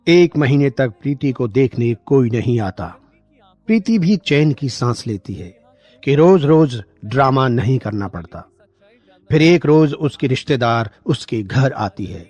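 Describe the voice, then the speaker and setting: Casting: male narrator for scripted moral tales, raspy medium-pitched voice, dry studio sound. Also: animated, measured pace, darkish tone, no room sound.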